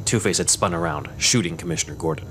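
A man reading scripted narration for a dark superhero audio drama. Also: low voice, quick pace, background noise, lightly acted. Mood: narrating.

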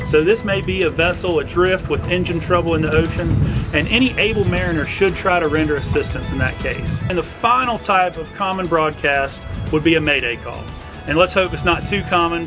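A middle-aged man presenting an instructional explainer to camera, talking through things step by step. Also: fairly high pitch. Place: outdoors on a docked boat at a marina. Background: background music.

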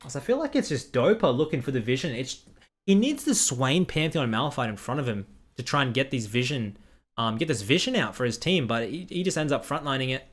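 A man's voice: mid-range voice, expressive. A young man commentating a game replay in casual, blunt style, recorded at home on a headset. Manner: blunt, critical, quick asides. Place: small room at home.

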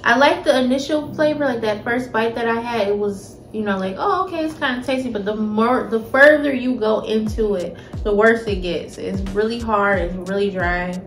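A woman's voice: fairly high pitch, moderate pace, few pauses. An American woman in her thirties giving an informal, slangy food review while she eats. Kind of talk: casual chat, food review. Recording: roomy home recording with faint music.

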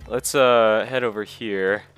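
A man talks through his game in a loose, rambling way, his voice nasal and stuffy from a cold. He sits on the low side of the pitch range, with faint game music running under him. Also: steady tone, takes it slowly, fairly bright tone, clear, resonant tone. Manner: rambling, casual, self-deprecating. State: recovering from a cold. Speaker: let's play host. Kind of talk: gameplay commentary.

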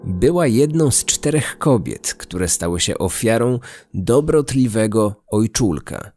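A man's voice: fairly low pitch, lively intonation, a little slow, darkish tone, faint music behind. A man narrating a scripted true-crime story as a podcast host.

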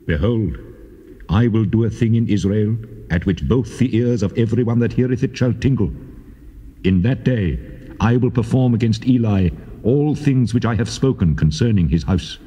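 An actor playing God in a dramatized Bible, grave and measured, pronouncing stern judgement. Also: male voice, on the low side, dark tone, breathy edge. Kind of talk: dramatized Bible audio acting. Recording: long cavernous reverb.

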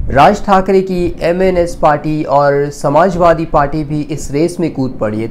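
A man's voice: on the high side, a little mellow, slight background noise. A man presents a scripted news explainer, addressing viewers directly in a formal way. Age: 20s.

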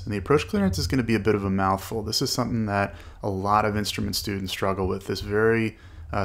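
A man's voice: fairly low pitch, vocal fry. A man narrating an explanatory flight tutorial as an instructor, walking through each step. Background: small-plane cockpit drone from a flight simulator.

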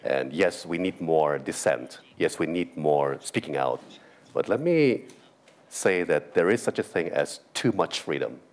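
A middle-aged man with an American accent speaks on a conference panel, his voice sitting extremely low. He argues in a measured, emphatic way and keeps checking in with his listeners. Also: expressive. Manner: measured, emphatic, frequent "right?" tags. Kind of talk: panel discussion. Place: large conference hall stage.

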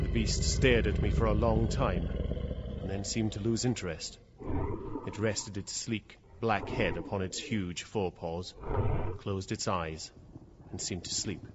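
A man's voice: lowish voice, natural voice. An actor playing a formal, intense man in mortal fear, telling his story in a radio drama, on a dull, noisy recording. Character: terrified male narrator trapped with a predatory cat. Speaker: narrator and lead character.

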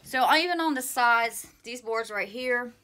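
Woman in her fifties, bright tone, rambling homestead vlog, handheld phone, small pantry. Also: on the high side, measured pace, clear, resonant tone. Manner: rambling, self-correcting, plainspoken. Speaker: homesteading vlogger. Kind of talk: casual DIY walkthrough. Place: small indoor pantry room. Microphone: phone held at arm's length.

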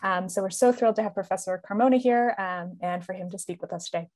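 A woman's voice: mid-range voice, quick pace, darkish tone, no room sound. A woman in her thirties on a headset, enthusiastically introducing a guest speaker with many fillers. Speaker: event host introducing guest. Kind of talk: introduction at an online event. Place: indoor room.

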